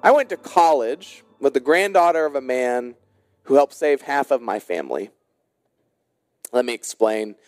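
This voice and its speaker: A man's voice: medium pitch, lively intonation, moderate pace, a little mellow, dry recording, faint music behind. A man speaking as a pastor, leading prayer and preaching to his congregation. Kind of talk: church prayer and sermon.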